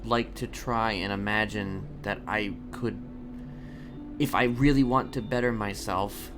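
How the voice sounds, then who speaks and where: A man playing a brooding prisoner in a roleplay game, confiding in a halting, deliberate way and repeating words as he goes. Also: fairly low pitch. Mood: confiding, measured. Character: brooding, confiding male prisoner seeking to reform. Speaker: streamer role-playing a character. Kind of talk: improvised in-character storytelling in a crime roleplay game. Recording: loud music behind.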